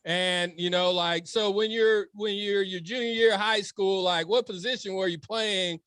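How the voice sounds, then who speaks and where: Smooth, high-voiced podcast host. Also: male voice, steady tone, normal speed, a bit bright, no room sound. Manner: loose and friendly, with filler "you know". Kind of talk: casual interview chat.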